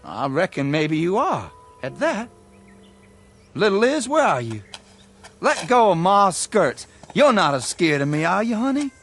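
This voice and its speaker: A man's voice: high-pitched, sing-song, measured pace, fairly bright tone, heavily acted. An actor playing a plain-spoken, indignant young country man in a radio drama, with a folksy rural American accent. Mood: hurt, coaxing. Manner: folksy, incredulous.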